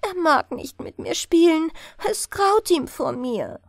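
A woman's voice: extremely high pitch, expressive, slow pace, no room sound, clean studio sound, pitched above the performer's own voice, full character voice. A woman voicing a lonely, sorrowful water nixie from a fairy tale, plaintive and lamenting.

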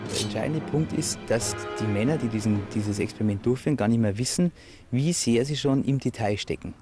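A man's voice: mid-range voice, brisk, warm tone, soft background music. A man speaking as an expert in a documentary interview, explaining and restating his points with emphasis.

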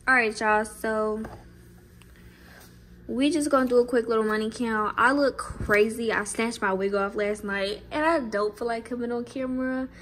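A woman's voice: a little high, moderate pace, a bit bright, a little hiss or hum, poor audio. Laid-back woman vlogging in her kitchen. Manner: laid-back, chatty, lots of fillers. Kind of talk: casual vlog chat to camera. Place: home kitchen, indoors.

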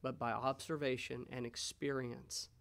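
An American man in his thirties preaching a sermon as a pastor in a measured, pausing way. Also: medium pitch, level tone, vocal fry, a bit bright. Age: 30s. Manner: measured, pausing, rhetorical questions. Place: church sanctuary, indoors. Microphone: gooseneck pulpit microphone.

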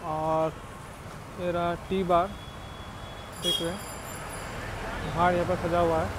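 A man's voice: sits high, level tone, takes it slowly. A young man in his twenties chatting loosely as he gives a selfie tour of his small tea shop, filmed on a phone held at arm's length. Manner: chatty, loose and rambling, with frequent restarts. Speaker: small cafe owner and vlogger. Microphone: phone camera held at arm's length. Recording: noisy phone recording with street sounds.

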